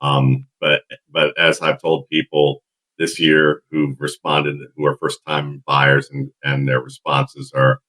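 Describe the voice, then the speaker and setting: Deep husky-voiced man, relaxed storyteller. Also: takes it slowly, poor audio. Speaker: guest draft analyst. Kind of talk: casual podcast interview.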